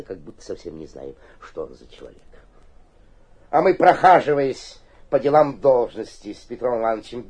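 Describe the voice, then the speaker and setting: Сиплого, угодливого городничего с живыми интонациями. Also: male voice, on the low side, a little mellow, breathy edge, exaggerated voice. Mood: sly muttering, then ingratiating. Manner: fawning, swinging from pleading to sly muttering. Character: wily, obsequious provincial town governor, corrupt and fearful. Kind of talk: theatrical radio play acting with muttered asides.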